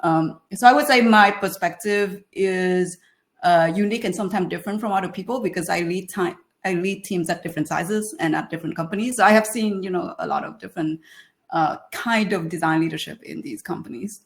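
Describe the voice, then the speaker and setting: A woman's voice: on the low side, fairly quick. Vietnamese-accented woman giving a talk. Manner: hesitant, many fillers and restarts. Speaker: guest speaker, design leader. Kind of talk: webinar talk.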